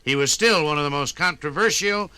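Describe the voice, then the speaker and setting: A man's voice: fairly high pitch, a little fast, sharp tone, clear, resonant tone, no room sound. An American man delivering emphatic, oratorical scripted commentary for a 1950s radio documentary.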